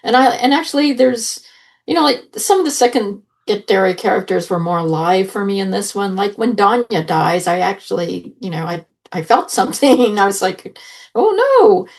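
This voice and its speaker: A woman's voice: mid-range voice, animated, normal speed. A woman talking casually as a panelist on a review podcast.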